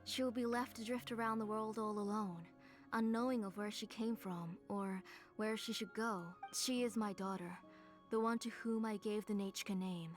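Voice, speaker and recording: A woman voicing a gentle, devoted but ailing mother in a video game, speaking earnestly and with measure over music. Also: mid-range voice, fairly even intonation, moderate pace, close to an everyday voice. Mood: weary, sorrowful.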